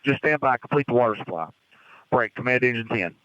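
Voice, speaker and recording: Over a dull, dry two-way radio, a man gives orders as a fire commander. His delivery is quick, clipped and businesslike. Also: mid-range voice, expressive, bright, forceful tone, no room sound. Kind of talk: terse emergency radio dispatches. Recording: dull, band-limited fireground radio traffic.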